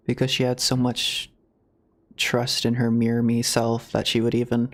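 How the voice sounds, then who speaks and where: A man giving casual live reactions as a game streamer, rambling and searching for words. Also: mid-range voice.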